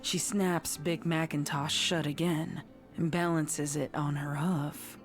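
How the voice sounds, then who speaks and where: Female horror narrator, low register, unhurried and suspenseful dramatic read, music underneath. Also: flat delivery. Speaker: story narrator.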